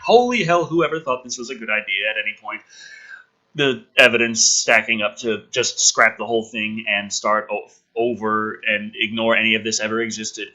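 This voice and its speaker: A man's voice: lowish voice, moderate pace, pushed and bright, lo-fi sound. A man giving a ranting, sarcastic commentary as he reads a screenplay aloud, profane and exasperated. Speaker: host of a movie script review.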